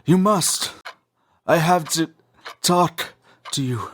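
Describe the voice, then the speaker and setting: A man's voice: on the high side, slow, a bit bright, a little hiss or hum. A man playing a dying older police captain in a comic dub, gasping and pleading in a weak, strained, fatherly voice. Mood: desperate, failing. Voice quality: breathy, strained. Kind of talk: dramatic comic dub acting.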